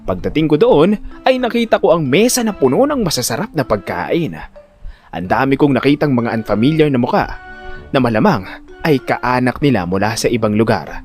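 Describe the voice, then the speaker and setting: A man with a Filipino accent playing a jittery, vain but good-natured young everyman who tells his own story in an audio drama, expressive and dramatic. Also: medium pitch, moderate pace, natural voice. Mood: storytelling. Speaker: narrator and lead actor. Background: music.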